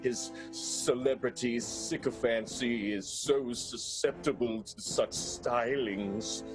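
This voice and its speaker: A man's voice: medium pitch, fairly even intonation, slow pace, over music, natural voice, low-quality recording. A man playing a jilted, scheming accountant in an improvised radio soap, speaking in a florid, theatrical way.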